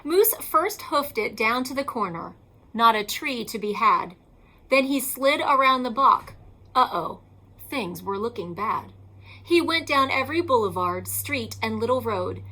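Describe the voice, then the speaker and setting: Woman storyteller for a picture book: slow, deliberate pacing, high register, emphatic delivery. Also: animated, sharp tone, poor audio. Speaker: elementary school teacher reading aloud. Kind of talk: expressive children's picture book read-aloud.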